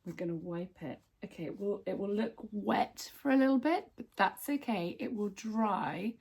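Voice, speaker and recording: Chatty woman, a bit croaky. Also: medium pitch, expressive, normal speed, darkish tone. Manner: chatty, friendly asides to viewers. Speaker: lifestyle vlogger. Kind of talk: casual how-to vlog.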